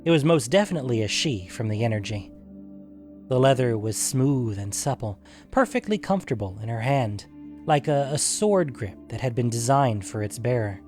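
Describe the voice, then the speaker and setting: A man reading a scripted fantasy story as narrator, in a measured storytelling manner. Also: mid-range voice, expressive, darkish tone, soft background music.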